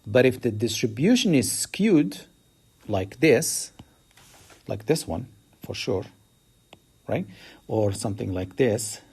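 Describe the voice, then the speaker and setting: Husky man explaining statistics. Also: sing-song, slow pace, long pauses, a little mellow. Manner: explanatory, rises in pitch to stress key words. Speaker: math teacher. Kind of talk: recorded statistics lecture.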